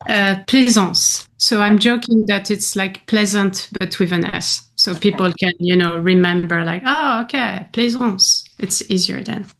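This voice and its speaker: Slow, gravelly French woman. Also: medium pitch. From France. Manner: warm, chatty, laughs often, many fillers. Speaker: guest, painter and advocate. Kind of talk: casual interview conversation.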